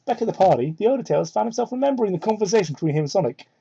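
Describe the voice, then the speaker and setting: A woman with a soft, dark tone reads a story aloud in amateur dramatic style. She keeps breaking off to make irreverent, swearing comments. The sound is dull and lo-fi. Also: animated, very fast, creaky, husky. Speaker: amateur fan fiction reader. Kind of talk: dramatic reading with mocking asides. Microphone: cheap microphone. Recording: muffled low-quality microphone.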